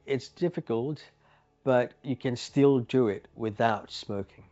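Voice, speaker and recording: A man's voice: medium pitch, unhurried, dark tone. A man telling his own story as a former smoker in a plain, earnest, conversational way. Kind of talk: personal testimonial. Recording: muffled with faint background music. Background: faint music.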